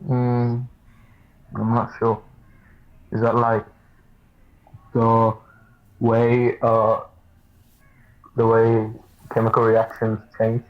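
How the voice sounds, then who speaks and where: A man's voice: medium pitch, completely flat delivery, very slow, long pauses. A young man, a student giving brief, hesitant answers. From Sri Lanka. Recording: dull lo-fi line.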